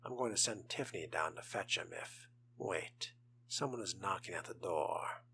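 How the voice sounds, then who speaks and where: A man's voice: sits low, animated, normal speed, pushed and bright, a little hiss or hum. A man narrating a video game playthrough in character, with theatrical delivery and formal wording. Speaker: role-playing game streamer.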